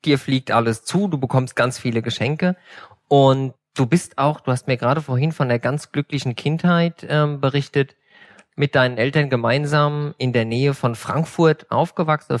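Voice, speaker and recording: A man's voice: medium pitch, moderate pace, dry recording. A man hosting an interview podcast, talking in an enthusiastic, gushing way and laughing often. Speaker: podcast host.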